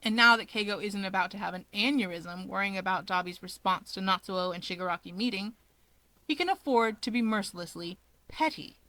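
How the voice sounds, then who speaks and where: A woman reading fan fiction aloud as an expressive storyteller. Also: mid-range voice, a little fast, dry recording, poor audio. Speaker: narrator.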